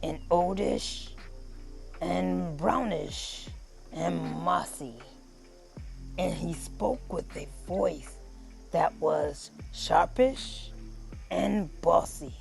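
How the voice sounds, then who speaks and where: Very slow, gravelly, theatrical storybook reader. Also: medium pitch, soft background music. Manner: theatrical, deliberate, drawn-out phrasing. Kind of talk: dramatic children's read-aloud narration.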